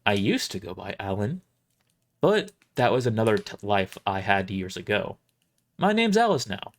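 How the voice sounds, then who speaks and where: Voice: low voice, very animated, moderate pace. A performer voicing a sweet but fierce woman who scolds like a schoolteacher in an audio drama. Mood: cold, firm. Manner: schoolteacher-like, emphatic.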